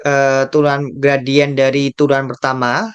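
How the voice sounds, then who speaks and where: A man with an Indonesian accent tutoring calculus, working slowly through a graph problem, repeating key terms and thinking aloud. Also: mid-range voice, level tone, clear, resonant tone, lo-fi sound. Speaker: math tutor.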